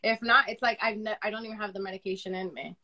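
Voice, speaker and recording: A woman, young to middle-aged, with a loud voice, chats casually and candidly as an interview guest, repeating phrases for emphasis. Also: medium pitch, fairly even intonation, fast. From Dominican upbringing. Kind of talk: casual personal conversation. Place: remote live video chat.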